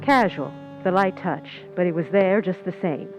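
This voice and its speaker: A woman's voice: on the low side, moderate pace, dull audio, over music, lightly acted. A woman playing a cool, ambitious, scheming young Hollywood stand-in in an old-time radio suspense play, with hard-boiled, knowing delivery. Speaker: actress in a community playhouse radio play. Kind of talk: old-time radio suspense acting with first-person narration.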